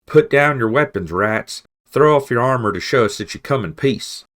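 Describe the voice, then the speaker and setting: A man voicing a big female badger guard who shouts harshly and without fear. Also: mid-range voice, animated, normal speed, voice pushed up, natural voice. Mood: defiant.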